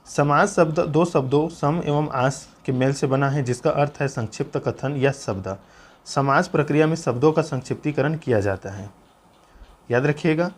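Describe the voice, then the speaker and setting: A man teaches an exam-preparation lesson, explaining notes aloud in an instructive way and pressing listeners to remember key points. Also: medium pitch.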